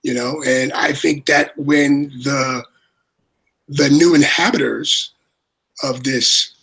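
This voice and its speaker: A man with a raspy voice chatting casually as a livestream co-host, rambling and repeating his words. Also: mid-range voice, fairly even intonation, takes it slowly, bright, forceful tone, poor audio. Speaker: co-host and moderator.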